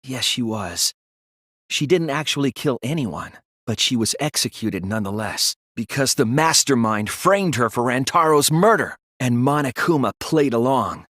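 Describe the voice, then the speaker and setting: A man voicing an earnest, analytical teenage boy detective in an English video game dub, speaking in a deliberate, resolute way. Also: mid-range voice, lively intonation, a bit bright, no echo, studio quality. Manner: deliberate, earnest, explanatory delivery.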